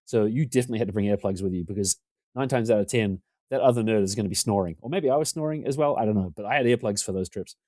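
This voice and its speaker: Talkative New man, low and mellow. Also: very fast, no room sound. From New Zealand. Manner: talkative, rambling, self-deprecating. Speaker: podcast guest. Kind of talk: casual conversation. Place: home room.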